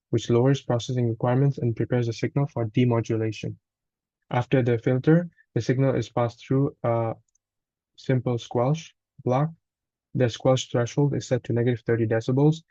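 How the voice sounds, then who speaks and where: A man with a soft, mellow voice presents a scripted technical walkthrough like a student giving a report. His delivery is a little halting, with small stumbles and corrections. The recording is very dry and slightly dull. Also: mid-range voice, no echo. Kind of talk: scripted technical narration, read aloud.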